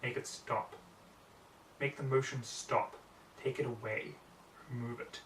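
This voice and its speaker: Patient mare mentor read by a man, serious, measured, coaxing storybook teacher, echoey room with faint noise. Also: mid-range voice, fairly even intonation, fairly bright tone, lightly acted. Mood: intent. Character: patient, serious female unicorn pony, magic teacher. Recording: roomy, distant mic, faint noise.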